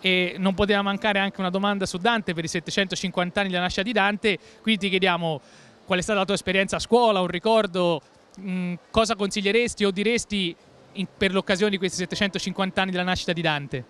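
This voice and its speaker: A man's voice: high voice, fairly even intonation, quick pace, a bit bright, smooth. A man in his thirties presenting at an exhibition stand with a handheld microphone, effusive and full of lofty praise. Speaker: costumed presenter. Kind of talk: enthusiastic event reporting.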